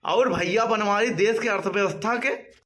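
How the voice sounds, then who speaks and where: A man's voice: high-pitched, moderate pace, fairly bright tone. A man voicing a naive, whining village uncle in a comic cartoon, with an Awadhi accent. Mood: puzzled.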